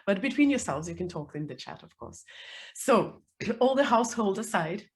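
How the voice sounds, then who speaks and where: A woman with a hoarse, worn voice from being sick, hosting a live online interview over a video call, chatty with many fillers. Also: medium pitch, expressive, normal speed. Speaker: host. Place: home, calling in.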